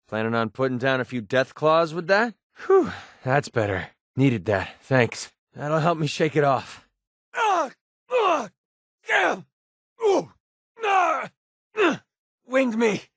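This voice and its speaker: Game companion role: sardonic young mercenary, cocky and terse, very animated high-pitched delivery. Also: male voice, no room sound, studio quality, exaggerated voice. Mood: combat, fired up. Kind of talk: video game companion voice lines and combat barks.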